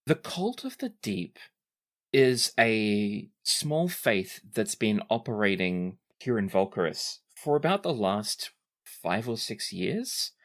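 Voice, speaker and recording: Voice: medium pitch, big pitch swings, slow. A game master improvising and narrating in a tabletop role-playing game.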